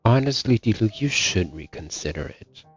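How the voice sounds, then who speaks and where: A man's voice: on the low side, expressive, normal speed, husky. Guy streaming a game, hesitant casual reactions, muffled mic, game music behind.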